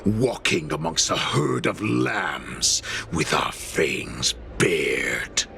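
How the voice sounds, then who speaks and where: An actor playing a ruthless, proud wolf-beast warlord in a video game, speaking with slow menace and grand speeches. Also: male voice, a bass voice, creaky, exaggerated voice. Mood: grandiose, commanding. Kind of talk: video game villain acting. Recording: loud music under the voice.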